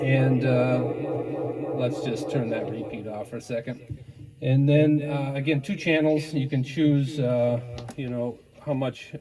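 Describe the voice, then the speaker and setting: A man's voice: mid-range voice, steady tone, measured pace, over a noisy crowd. Man, casual gear demo, tape echo with long reverb, distant from the mic, hesitant and off-the-cuff. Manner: hesitant, off-the-cuff, repeating mic-check words. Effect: voice through tape delay echo unit. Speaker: seller demonstrating vintage audio gear.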